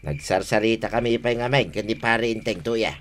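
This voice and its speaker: A man's voice: lowish voice, fairly quick, a little hiss or hum, close to an everyday voice. Man acting a troubled, plaintive village husband. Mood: apologetic. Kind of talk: comedy radio drama acting.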